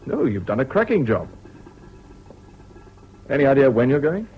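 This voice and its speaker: An actor playing a mild, concerned English army officer, polite and gentle, in a 1970s British radio drama. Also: male voice, medium pitch, very animated, moderate pace, faint music behind, natural voice. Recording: dull, noisy old radio recording.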